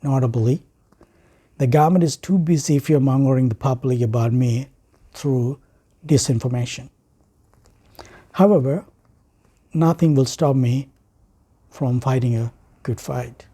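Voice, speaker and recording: Male orator, Sri Lankan accent, very mellow dark tone, formal and deliberate. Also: mid-range voice, slightly rough. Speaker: writer and speaker. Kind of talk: prepared speech.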